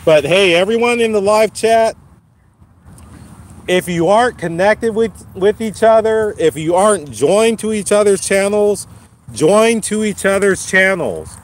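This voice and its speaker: A man hosting his first live stream from a phone while walking a dog outside, his voice slightly raspy from a cold, casually encouraging viewers. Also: high-pitched, lively intonation, a little slow. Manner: encouraging, repetitive, addresses viewers by name. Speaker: channel host. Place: outdoors on a lawn walking a dog. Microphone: phone held while walking.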